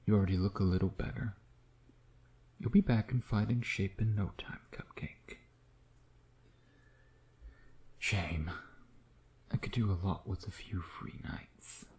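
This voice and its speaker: Man acting as male vampire, ASMR roleplay, low pitch, dark mellow tone, smug, flirtatious, intimate murmur, breathy asides. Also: normal speed, natural voice. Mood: flirtatious, teasing. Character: teasing, smug male vampire doting on his bitten prey.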